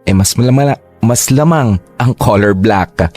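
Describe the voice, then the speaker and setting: A man with a fairly low voice tells his story in the first person in a confessional, scripted narration. He slips English phrases into his Tagalog delivery. Faint music plays underneath. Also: moderate pace, darkish tone.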